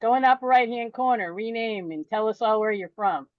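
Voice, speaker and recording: A woman's voice: a little high, brisk, dry recording. A woman from near Boston speaking up on a video call, frank and wryly talkative. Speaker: participant. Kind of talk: casual video call discussion. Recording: dull dry video call audio.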